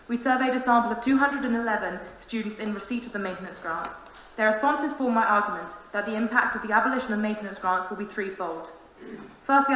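A woman's voice: medium pitch, sharp tone. A woman in her twenties reading a prepared, formal, earnest speech to a council meeting as a student union president. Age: 20s. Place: large council meeting room. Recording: very echoey room, faint noise.

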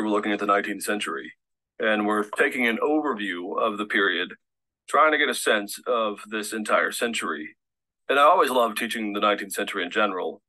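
Low, bright-voiced video lecturer. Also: male voice, low-quality recording. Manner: fluent, polished delivery.

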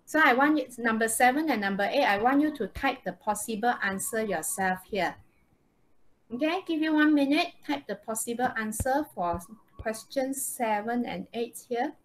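A woman's voice: a little high, slight background noise, rough recording. A woman with a Malaysian accent teaching a live online lesson, often checking in with okay and right. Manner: frequent checking questions, tag words like okay and right. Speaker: English teacher. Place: indoors, online video call.

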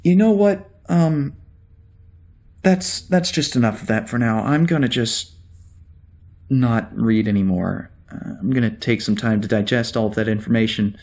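A man playing an anxious, confused spaceship security officer with amnesia in a science-fiction audio drama, hesitant and halting as he mutters to himself. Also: medium pitch, darkish tone, close to an everyday voice. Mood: unsettled, hesitant.

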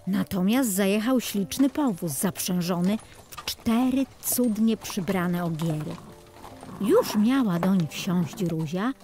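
A woman reading a scripted fairy tale for children in a measured, expressive storybook manner. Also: mid-range voice. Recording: music under the voice. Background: music.

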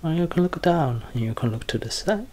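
A soft-spoken young man in an ASMR roleplay as a quirky, deadpan doctor, hesitant and halting, sometimes drifting toward a whisper. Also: on the high side. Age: young adult. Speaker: ASMR performer playing a bumbling doctor. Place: small curtained room at home, indoors.

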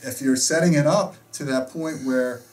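A middle-aged American man talks through his points as a host in a casual discussion. His voice sits at an average pitch, and he is emphatic and opinionated. The microphone is some way off in the room. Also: normal speed, a little mellow. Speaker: host and audio reviewer. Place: small indoor home theater room. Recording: distant mic in a room.

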